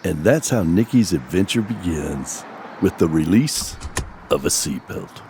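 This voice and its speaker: A sardonic horror storyteller with a very deep, swooping voice. He narrates the radio play theatrically, over light street noise. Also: lively intonation, measured pace, a little mellow. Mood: wry. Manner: wry, theatrical, savouring his words. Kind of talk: scripted audio drama narration.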